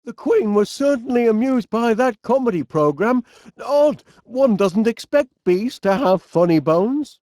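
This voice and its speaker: A middle-aged, absent-minded inventor from an animated comedy game, with a Northern English accent. He takes his time, and his pitch bounces up and down as he chats in a fussy, polite, cheerfully bumbling way. The recording is dry and close. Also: measured pace, no room sound. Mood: musing. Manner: bumbling, hesitant, polite, chatty.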